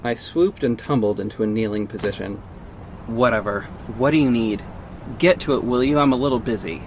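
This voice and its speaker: A man acting as a guarded, sardonic costumed vigilante in an audio drama, measured and terse, with an exasperated edge. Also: mid-range voice, slight background noise, natural voice. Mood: cold, irritated. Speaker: narrator and lead actor. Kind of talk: first-person audio drama narration and dialogue.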